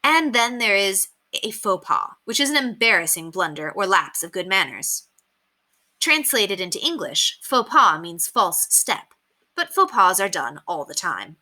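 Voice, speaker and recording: A woman reading a children's book aloud as an expressive, emphatic storyteller. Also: medium pitch, a little slow. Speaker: read-aloud reader.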